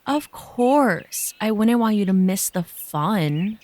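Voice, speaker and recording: A woman's voice: mid-range voice, animated, slow pace, a little mellow. A woman playing a young, teasing yet guarded village guide in a sci-fi audio drama.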